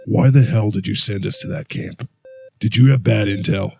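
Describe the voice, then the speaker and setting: A man's voice: on the low side, fairly even intonation, moderate pace, gravelly, darkish tone, soft background music. A man playing a hardened veteran soldier who talks in a blunt, profane way. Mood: angry, confused. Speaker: interrogated war veteran. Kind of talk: audio drama acting.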